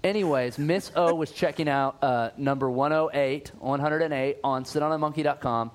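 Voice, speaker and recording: A man's voice: a little high, moderate pace. A man co-hosting a casual podcast, chatty and upbeat, with frequent "uh" fillers and restarts. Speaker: podcast co-host.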